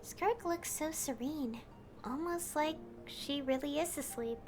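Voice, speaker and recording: A woman voicing a calm, thoughtful young traveler who speaks reflectively. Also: high-pitched, unhurried, music in the background. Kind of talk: fan voice-over of a game scene.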